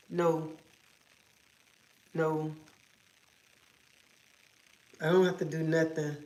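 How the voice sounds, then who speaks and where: A woman hosting a casual livestream from home, feeling sick as if coming down with a cold, rambling and repeating herself. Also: deep voice. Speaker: livestream host. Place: at home, indoors. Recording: echoey room.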